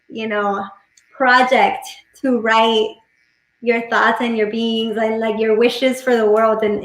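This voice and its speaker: A woman whose first language is Spanish, a musician guest chatting casually on a video call from home, relaxed and full of fillers. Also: a little high, echoey room, low-quality recording. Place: home room. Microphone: laptop webcam mic on video call.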